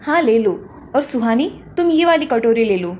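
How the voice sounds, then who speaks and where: A woman voicing a caring mother of two grown daughters in a cartoon story, speaking gently and turning firm when she warns them. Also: on the high side, expressive, moderate pace, slight background noise, natural voice.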